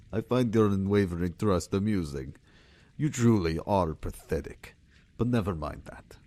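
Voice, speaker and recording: Man acting a low-voiced, sadistic villain captain. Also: animated, warm tone, dry recording. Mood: mocking. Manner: taunting, menacing, drawn-out theatrical delivery. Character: sadistic Russian ex-intelligence captain, gloating interrogator. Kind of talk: audio drama villain acting.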